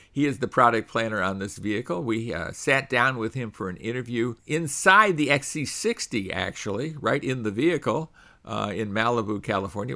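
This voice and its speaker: A middle-aged man hosting a radio show in a bright, forceful voice. He talks in a loose, conversational way, often pausing on uh. It sounds like a studio microphone. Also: medium pitch. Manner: conversational, with frequent uh fillers.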